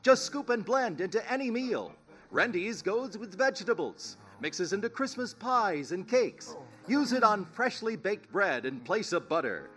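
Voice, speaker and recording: A man plays a chipper, folksy announcer from 1930s radio. He pitches high with lively swings, polished and salesmanlike, over music. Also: measured pace, exaggerated voice. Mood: upbeat selling.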